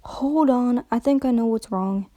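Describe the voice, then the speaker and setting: A woman's voice: on the high side, a little slow, dark tone, close to an everyday voice. A woman voicing a blunt, tough orange female earth pony with a brusque way of talking who cuts others off.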